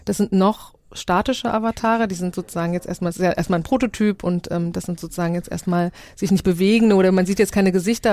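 A woman's voice: mid-range voice, dark tone, no echo. Woman, middle register, explanatory, many qualifiers, conversational, dry room. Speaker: guest expert explaining her project.

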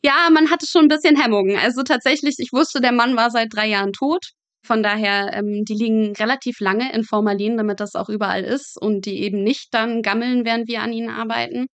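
High-voiced young woman telling frank anecdotes. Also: fairly even intonation, brisk, dry recording. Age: 20s. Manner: frank, matter-of-fact, vivid anecdotal telling. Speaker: medical student, interview guest. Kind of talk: conversational interview storytelling.

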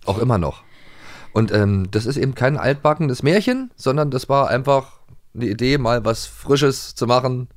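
A man's voice: medium pitch, animated, normal speed, dry recording, clean studio sound. A middle-aged man from the former East Germany co-hosting a podcast, speaking reflectively with frequent fillers. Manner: reflective, many "äh" and "ähm" fillers. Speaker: podcast co-host. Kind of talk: casual chat about audio dramas.

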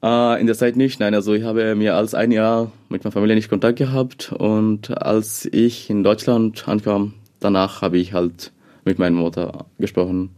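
A young man from Bangladesh, a second-language speaker, answering interview questions haltingly with many fillers. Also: fairly low pitch, flat delivery, a little mellow. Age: young adult.

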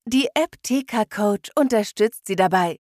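A woman's voice: medium pitch, animated, unhurried, a little mellow, no echo, clean studio sound. A woman doing a scripted voice-over for an advertisement. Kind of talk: scripted commercial narration.

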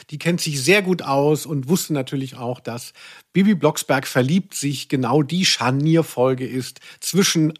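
A man's voice: on the high side, breathy edge. A man hosting a humorous podcast, talking in a wordy, mock-serious way. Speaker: podcast host.

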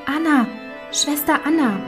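A woman's voice: sits high, unhurried, music in the background, pitched above the performer's own voice. A woman voicing a frightened young wife in a fairy tale, anxious, pleading and dramatic. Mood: anxious calling.

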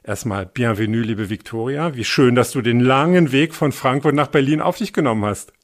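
A man's voice: mid-range voice, normal speed. German man hosting a wine podcast. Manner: friendly, curious, knowledgeable. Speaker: podcast host. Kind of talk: podcast interview, relaxed chat.

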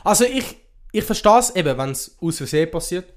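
A young man with a Swiss accent talks in a fairly high-pitched male voice. His delivery is casual and conversational, peppered with youthful slang and English expressions, like a podcast co-host chatting with a friend. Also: sing-song, a little fast. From Switzerland. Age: young adult. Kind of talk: casual chat.

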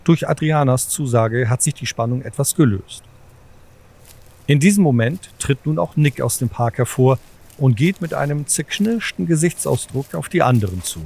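Guy narrating an audio play, mid pitched, measured storyteller delivery. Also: animated, vocal fry, darkish tone. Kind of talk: scripted audio drama narration.

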